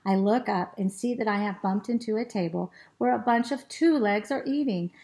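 A woman voicing an excitable, greedy male dog in a children's storybook, with exclamations and drawn-out words. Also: medium pitch, natural voice. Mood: curious, startled. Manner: exclamatory, drawn-out words and short repeated sniffing phrases. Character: excitable, greedy male dog narrating his food adventures. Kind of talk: expressive children's storybook reading.

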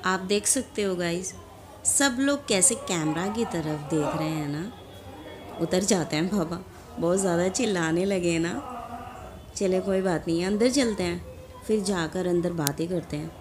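A woman's voice: fairly low pitch, a little slow, over music. A young woman chatting to her viewers as she films a casual travel vlog on a phone held at arm's length, outdoors in a big courtyard. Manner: chatty, addresses viewers directly. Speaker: vlogger. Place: outdoors in a large mosque courtyard. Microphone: phone camera held at arm's length. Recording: noisy outdoor phone recording.

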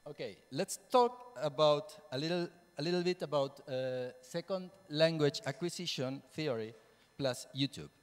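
Spanish-accented man presenting in a reverberant hall. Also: on the high side. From Spain. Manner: halting, repeats words for emphasis. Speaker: Spanish teacher and plenary speaker. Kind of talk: conference presentation. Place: lecture hall, indoors. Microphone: handheld microphone. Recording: reverberant lecture room.

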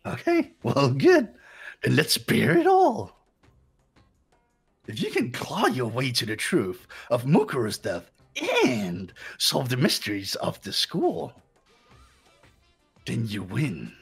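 A gleefully sadistic bear mascot villain from a game. The voice is very husky and creaky, drawling slowly with theatrical, taunting relish. Faint music plays underneath. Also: medium pitch, huge pitch swings, exaggerated voice. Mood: menacing playful. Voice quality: raspy, gravelly. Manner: theatrical, taunting, giggling, pun-happy. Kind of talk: character voice acting in a game fan dub.

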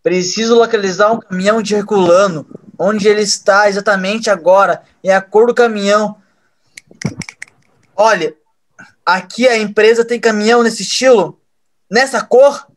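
Man acting cocky high-voiced young schemer. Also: steady tone, normal speed, lightly acted. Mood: urgent. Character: brash young male schemer, cocky and vengeful. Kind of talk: audio drama acting.

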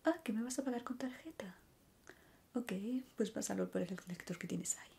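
A young woman from whose comes a soft, breathy, gentle whisper, playing a barber in an unhurried ASMR roleplay close to the mic. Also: a little high, lively intonation. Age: young adult. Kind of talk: ASMR roleplay, personal attention. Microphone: close ASMR microphone.

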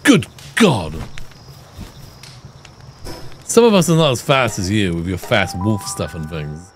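A man's voice: lowish voice, wildly swooping pitch, normal speed, faint music behind. A man in his twenties hosting a game stream, chatting casually, laughing often and thanking viewers. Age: 20s. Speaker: streamer host. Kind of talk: casual gameplay chat.

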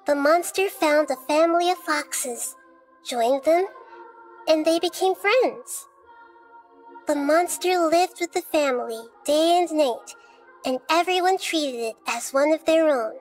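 Game role: wise, composed little goddess, soft girlish high voice, measured storybook delivery, music underneath. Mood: solemn storytelling. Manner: measured, thoughtful, articulate. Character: small childlike female deity, gentle, wise, composed. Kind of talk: video game dialogue and fairy-tale narration.

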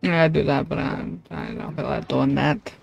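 Young woman gamer with a creaky voice. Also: low voice, wildly swooping pitch, moderate pace, a little mellow, dull audio, slight background noise. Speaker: livestreaming gamer. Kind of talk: casual chat while playing a game. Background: video game music and combat sound effects.

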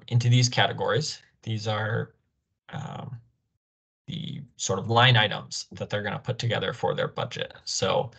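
Young man, lower voice, explanatory webinar presentation, video call. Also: gravelly. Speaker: program officer presenting a grant orientation. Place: indoor room, on a video call. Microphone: video call microphone.

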